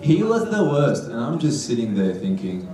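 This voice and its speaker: A man's voice: medium pitch, big pitch swings, normal speed. A man from Iraq doing a crude, blunt stand-up comedy set on a club stage. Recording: roomy club sound from a distance with loud crowd laughter.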